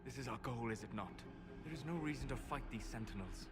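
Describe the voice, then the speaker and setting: An actor playing a calm, proud, scholarly companion in a fantasy video game, formal and measured with a disapproving air. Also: male voice, medium pitch, close to an everyday voice. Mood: reasoning. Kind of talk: dramatic video game dialogue. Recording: loud music over voice.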